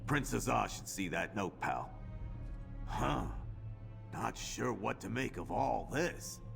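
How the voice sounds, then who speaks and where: A gruff, well-travelled game adventurer, speaks in a heavily creaking voice that swings up and down. He is blunt and folksy, with a wry edge, over game music. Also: lowish voice, animated, slow, full character voice. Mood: thoughtful, friendly. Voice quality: gravelly. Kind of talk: video game character dialogue.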